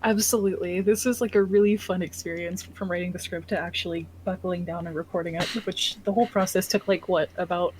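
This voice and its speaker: A woman's voice: fairly low pitch, fairly even intonation, brisk, few pauses, background noise. A woman, a guest voice actor, chatting informally and enthusiastically in a casual outro.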